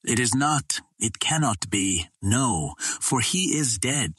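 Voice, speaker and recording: A man's voice: medium pitch, lively intonation, slow pace, fairly bright tone, husky, no room sound, studio quality, full character voice. A man voicing a proud, sorrowful Martian princess in an adventure audiobook, formal and guarded. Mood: doubting, shaken.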